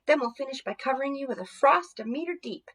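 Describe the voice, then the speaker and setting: A woman's voice: sits high, lively intonation, quick pace, fairly bright tone, distant mic, pitched above the performer's own voice. A woman voicing an angry, bullying winter frost spirit in a children's storybook, with threatening taunts. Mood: angry, menacing.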